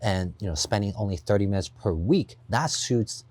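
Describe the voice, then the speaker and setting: Low-voiced man from Taiwan, dry studio sound. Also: animated, moderate pace, darkish tone, no echo. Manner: earnest explaining with frequent "you know" and "right?". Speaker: guest investor. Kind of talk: podcast interview.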